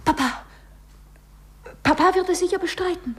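An actress playing a dreamy, lovestruck young sweetheart, coy and breathy, in a 1950s German radio play. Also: female voice, very high voice, normal speed. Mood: coy, enamoured. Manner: coy, lingering, teasing. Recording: 1950s radio recording, music behind.

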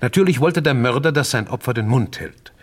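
An actor playing a shrewd, courteous and pompous detective in a radio crime drama, sprinkling French phrases into deliberate speech. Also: male voice, medium pitch, expressive, slightly rough. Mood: probing, dramatic. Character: shrewd, theatrical Francophone male detective, self-assured and courteous.

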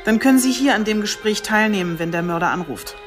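Actress as sober, businesslike chief inspector. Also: female voice, mid-range voice, animated, quick pace, faint music behind, close to an everyday voice. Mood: focused. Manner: sober, matter-of-fact. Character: businesslike female police chief inspector on a murder case. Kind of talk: radio drama dialogue.

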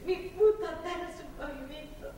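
In a very echoey, far-off theatre recording, a young captive woman speaks in a high voice. She is bitter and defiant, swearing and repeating herself in agitated, halting bursts. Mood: shaken, bitter recounting. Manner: foul-mouthed, agitated, repetitive and halting. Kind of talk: theatrical stage drama acting. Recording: echoey, distant theatre recording, faint noise.

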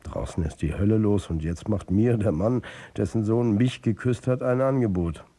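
Radio drama lead: embattled coach as narrator, dark husky timbre, terse and bitter delivery. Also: male voice, on the low side, normal speed. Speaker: first-person narrator.

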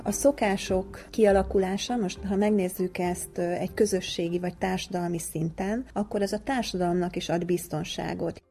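A woman with a soft-edged, dark timbre and a slightly low pitch gives an expert explanation. She speaks reflectively, in long unscripted sentences, as in a radio interview. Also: steady tone, few pauses, husky, soft background music. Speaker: psychologist.

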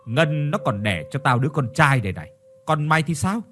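A man playing a brutal, contemptuous husband in an audio story, loud, coarse and sneering. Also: a little high, expressive, fairly quick. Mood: angry, contemptuous. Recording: music behind the voice.

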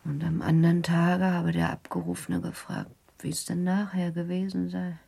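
A woman's voice: on the low side, level tone, moderate pace, dark tone, exaggerated voice. Frail, whispery dying young woman. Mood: wistful, serene. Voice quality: breathy, weak, whispery. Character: gravely ill young woman, serene, resigned to dying. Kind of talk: German radio drama acting.

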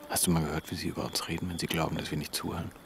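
An actor in a radio drama playing a proud, ambitious young Egyptian engineer, earnest and resentful, in intimate dialogue. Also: male voice, low-pitched, flat delivery, rapid-fire. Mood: scornful. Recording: music behind the voice. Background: music.

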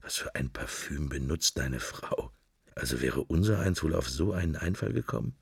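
An actor playing a brooding, furtive middle-aged man in a radio drama, murmuring his drifting, sensual thoughts in fragments with a gravelly, husky voice. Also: very deep voice, animated, moderate pace, dry recording, clean studio sound, exaggerated voice. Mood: musing. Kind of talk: radio drama interior monologue.

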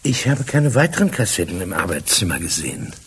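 A man's voice: deep voice, very animated, fairly quick, exaggerated voice. An actor playing a dry, deferential police sergeant assisting his inspector in a German radio crime drama. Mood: polite, dry. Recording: clear car and ambience noise.

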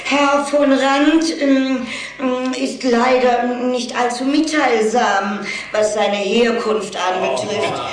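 Widowed vampire aunt, slow theatrical delivery, highish voice, sentimental, formal, radio play. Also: female voice. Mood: hesitant, defensive. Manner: theatrical, drawn-out formal speech. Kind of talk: German audio play acting. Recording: very echoey, distant from the mic.

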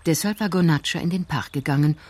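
Low-voiced woman narrating radio drama. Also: quick pace, a little mellow.

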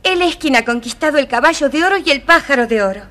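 High-voiced, earnest young princess. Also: female voice, lively intonation, fast talker, sharp tone. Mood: resolute. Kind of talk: children's audio storybook acting.

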